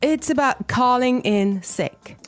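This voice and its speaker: A woman co-hosting a language lesson podcast, conversational, clear and instructive. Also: a little high, expressive, takes it slowly, soft background music. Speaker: podcast co-host and language teacher.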